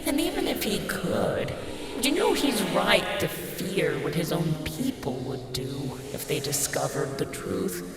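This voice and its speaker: A man's voice: high-pitched, wildly swooping pitch, a little slow, exaggerated voice. A man playing an ancient, cruel demon that possesses a boy in a fantasy audio drama, taunting and savoring its words. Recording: echoing, distant, heavy noisy ambience.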